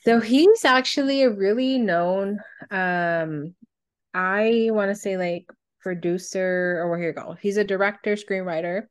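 A woman's voice: mid-range voice, measured pace, smooth. A woman co-hosting a podcast in casual conversation, speaking informally and thinking aloud as she goes. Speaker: podcast co-host.

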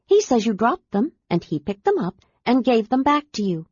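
A woman voicing a gentle, earnest woman librarian who speaks carefully and warmly. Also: a little high, very animated, moderate pace, slightly rough, no echo, muffled recording, voice pushed up.

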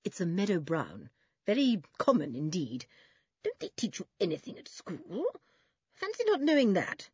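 A woman's voice: on the low side, wildly swooping pitch, moderate pace, darkish tone, breathy edge, no room sound, muffled recording, heavily acted. A woman voicing a humourless, pedantic man who collects butterflies, in a storybook reading. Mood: cold. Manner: cold, pedantic. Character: humourless, aloof adult male butterfly collector.

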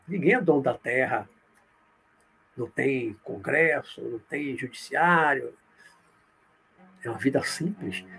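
A man from Olinda, explaining spiritual ideas in a live talk and answering viewer comments, often checking in with a quick "right?". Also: on the high side, a bit bright. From Brazil, born in Olinda, Pernambuco. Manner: explanatory, tag questions, reads comments aloud then answers.

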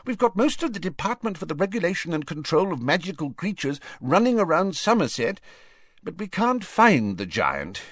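A man's voice: high-pitched, big pitch swings, moderate pace, a bit bright, no room sound, studio quality, pitched above the performer's own voice, full character voice. A man voicing a flustered, defensive and weary older government minister in an audiobook, with agitated, emphatic delivery. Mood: miserable, weary.

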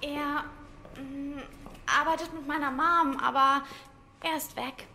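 A very high, small girl's voice, speaking slowly. She is a shy, frightened and sad child in a radio play, with long reverb around her and music underneath. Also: steady tone, pushed and bright, a little hiss or hum, heavily acted. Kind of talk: audio drama acting.

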